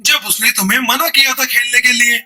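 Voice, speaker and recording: A woman voicing a fed-up, stern father bird in a cartoon, with a husky voice and emphatic delivery. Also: on the high side, steady tone, a little fast, sharp tone. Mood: exasperated, stern. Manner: emphatic, exasperated.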